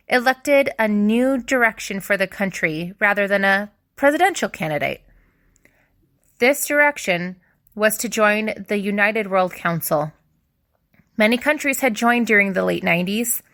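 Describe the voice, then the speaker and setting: A woman playing a history podcast host in a fictional world, giving measured, explanatory scripted narration with opinionated asides. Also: mid-range voice, sharp tone. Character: female history podcast host from an alternate reality.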